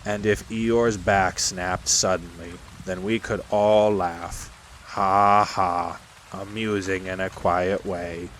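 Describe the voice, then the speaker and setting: A man doing a slow, low, gloomy old donkey. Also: slight background noise, poor audio. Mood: dryly sarcastic. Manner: slow, dreary, deadpan. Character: gloomy old male donkey, dryly sarcastic pessimist. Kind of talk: children's storybook character voice.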